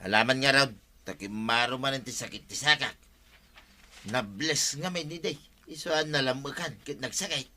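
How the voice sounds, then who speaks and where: A man's voice: mid-range voice, normal speed, bright, forceful tone. A man playing a teasing middle-aged village uncle with an Ilocano accent in a comedic radio drama. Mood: instructing.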